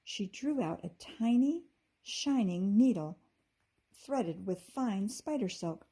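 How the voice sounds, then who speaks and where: A woman with a husky voice reading a children's story aloud expressively, like a teacher to her class. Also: medium pitch, expressive, slow pace, dark tone.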